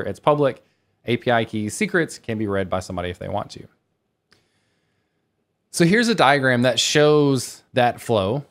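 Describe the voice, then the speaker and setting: A man presenting a technical conference talk in a conversational, reassuring way, explaining things plainly and informally. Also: medium pitch. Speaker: conference speaker, developer teaching web development.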